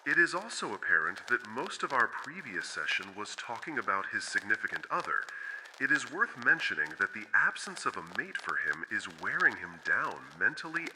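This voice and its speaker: A man playing a brooding, formal otherworldly therapist recording measured, clinical case notes in an analog horror audio drama. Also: on the low side, very animated. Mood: grave, pensive. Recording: noisy, clicky, radio-like recording.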